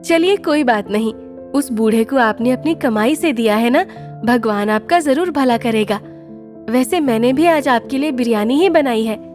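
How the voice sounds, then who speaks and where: Woman acting a high-voiced, gentle village wife. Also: moderate pace, soft background music, natural voice. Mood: reassuring. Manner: earnest, expressive. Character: gentle, devout, supportive young village wife.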